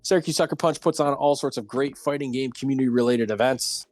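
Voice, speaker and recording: Male host for a casual round table livestream, medium pitch, dry close recording, faint music underneath. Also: fast, no room sound. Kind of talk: casual hosting and chat on a livestreamed talk show.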